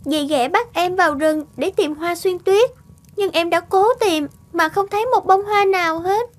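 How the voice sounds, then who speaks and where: Very high-voiced gentle little girl. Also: moderate pace. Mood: sad. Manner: polite, earnest. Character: gentle, timid orphaned little girl, sweet and polite. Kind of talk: cartoon voice acting. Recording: background music under the voice.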